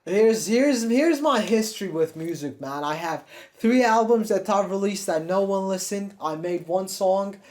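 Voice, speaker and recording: A young man from Turkey who speaks English with an American-sounding accent, in a slightly higher-than-usual register. He talks in a loose confessional monologue, blunt and full of swearing, restarting his sentences as he goes. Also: a little slow, a little mellow. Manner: blunt and profane, rambling with many restarts. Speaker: self-improvement YouTuber.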